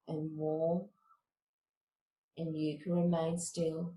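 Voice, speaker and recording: A woman teaching meditation, giving guided relaxation instructions in a calm, measured, soothing manner. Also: deep voice, roomy sound, slight background noise, poor audio.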